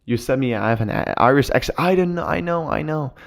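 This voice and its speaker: A young man hosting a live cryptocurrency stream in casual chat, speaking English as a learned second language, excitable and full of repeats and restarts. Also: mid-range voice, fast talker, creaky. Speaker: crypto livestream host.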